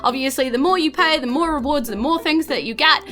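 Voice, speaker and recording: A woman's voice: high-pitched, brisk, sharp tone, faint music behind. A woman with a New Zealand accent, chatting and narrating as the game master and host of a podcast.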